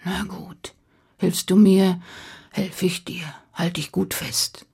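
A woman voicing a gruff male wolf who speaks in a low, growled half-whisper. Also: flat delivery, slow, darkish tone, exaggerated voice.

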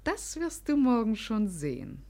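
An actress playing a spirited, wilful young gentleman's daughter in a radio comedy, coy and playful. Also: fairly high pitch, big pitch swings, measured pace. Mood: coaxing, sly. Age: young adult.

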